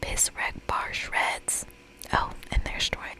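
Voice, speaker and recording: A woman whispering in a playful, expressive ASMR style as she reads aloud and comments on a game. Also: takes it slowly, poor audio. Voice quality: whispered, breathy. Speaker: ASMR creator playing through a visual novel game.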